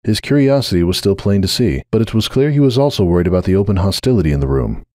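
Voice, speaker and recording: Guy narrating a story dramatically, lowish voice, very animated, dry recording. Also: quick pace, no echo. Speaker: narrator of a dramatic fan fiction reading.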